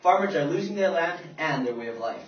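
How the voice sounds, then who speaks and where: A man's voice: fairly high pitch, animated, a little fast, lightly acted. A man playing an earnest 1930s radio news anchor in a scripted broadcast drama. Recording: echoey, distant, dull amateur recording.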